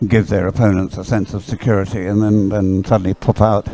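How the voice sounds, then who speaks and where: A man giving conversational live sports commentary in a hesitant, halting way, often repeating his words. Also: fairly low pitch, level tone, warm tone, a little hiss or hum. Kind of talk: live dragon boat race commentary, conversational. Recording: live sports coverage, crowd in background.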